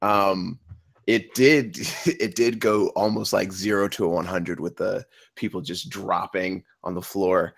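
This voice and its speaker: A man's voice: deep voice, steady tone, slow, vocal fry. A man giving casual, joking feedback as a panelist in an informal discussion.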